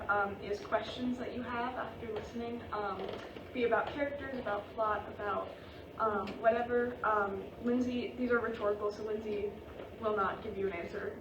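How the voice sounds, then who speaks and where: A woman casually moderating an informal group feedback discussion, with hesitant phrasing. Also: fairly high pitch. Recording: noisy, echoey room from far off.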